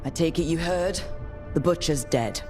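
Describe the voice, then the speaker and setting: An actress playing a resolute, wry adventurer hero in a video game, speaking in a direct, conversational way. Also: female voice, sits low, moderate pace, close to an everyday voice. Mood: serious. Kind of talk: video game cutscene acting. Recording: music behind the voice.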